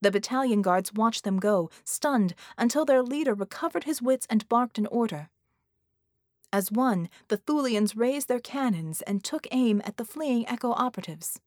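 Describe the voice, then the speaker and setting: A woman's voice: fairly high pitch, animated, moderate pace, no echo. Woman narrating action fiction, close dry sound. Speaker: audiobook narrator. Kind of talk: dramatic scripted narration of an action story.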